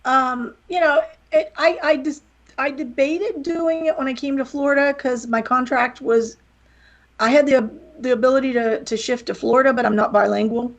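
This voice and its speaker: Middle-aged woman, slight Southern drawl, a bit high, gravelly edge; candid, rambling podcast guest. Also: fairly even intonation, normal speed. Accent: slight Southern American. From West Virginia, USA. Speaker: guest, wrestling promoter and author. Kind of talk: casual podcast interview.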